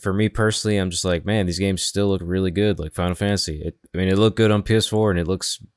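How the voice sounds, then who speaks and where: A man's voice: sits low, fairly even intonation, fast, no echo, studio quality. A man co-hosting a podcast, chatting casually and agreeably about video games in an informal way. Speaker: podcast co-host.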